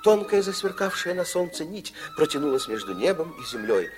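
A man narrating a children's radio play in an expressive storybook style, with dramatic pauses. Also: a little high. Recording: old 1960s radio recording with music.

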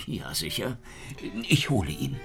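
An actor playing a weary, flustered police inspector in a radio drama, anxious and deferential. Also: male voice, low voice, sing-song, takes it slowly, loud music behind the voice, exaggerated voice. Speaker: Inspector Ganimard.

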